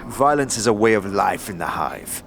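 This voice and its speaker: A man's voice: lowish voice, big pitch swings, moderate pace, gravelly, a bit bright, slight background noise, exaggerated voice. A man playing a flamboyant, sly street tout in an audio drama, with a theatrical, slangy, chuckling manner. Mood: sly, breezy. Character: flamboyant, sly sandy-skinned street tout offering his services. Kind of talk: audio drama character acting.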